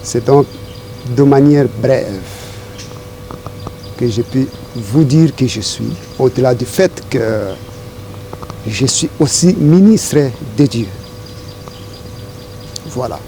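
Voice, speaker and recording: A middle-aged man from Congo answering interview questions in a deliberate, formal, rhetorical way. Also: mid-range voice, expressive, warm tone, slight background noise. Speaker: interview guest.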